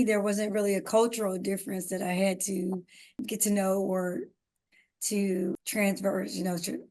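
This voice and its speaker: A woman's voice: medium pitch, darkish tone. A woman co-hosting a podcast, talking earnestly and giving emphatic advice in a casual conversation. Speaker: podcast co-host.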